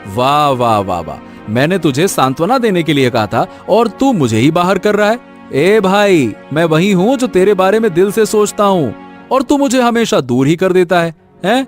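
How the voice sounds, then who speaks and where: A man playing a caring, gently scolding elder brother, a landlord's son, in a moral story. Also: high-pitched, sing-song, moderate pace, soft background music. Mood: chiding, reassuring.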